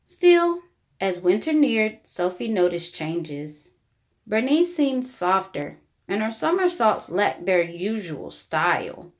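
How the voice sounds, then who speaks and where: A woman reading a children's book aloud in an expressive, deliberate storytelling style. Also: mid-range voice. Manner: animated, deliberate storybook delivery. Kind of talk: expressive read-aloud narration for young children.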